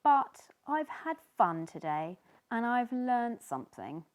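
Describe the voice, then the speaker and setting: Woman voicing a timid, high-pitched little storybook fish. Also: very animated, takes it slowly. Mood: happy, grateful. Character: timid sneezy little fish, sweet and earnest. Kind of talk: children's storybook character voice.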